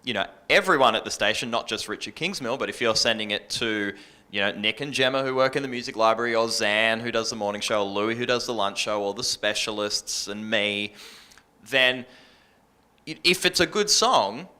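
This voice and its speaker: A man's voice: on the low side, moderate pace, sharp tone. An Australian man speaking casually and bluntly as a radio industry panelist, in colloquial language.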